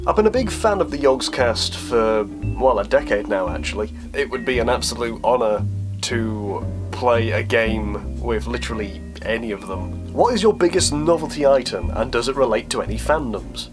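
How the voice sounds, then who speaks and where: Casual man, wry and self-deprecating. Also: mid-range voice. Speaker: YouTube host answering viewer questions. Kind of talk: casual chat to camera, Q&A.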